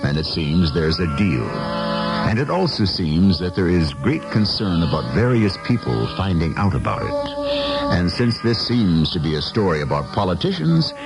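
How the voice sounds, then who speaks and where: A man's voice: deep voice, vocal fry. A man hosting and narrating a radio drama in a theatrical, wry and portentous manner. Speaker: host and narrator.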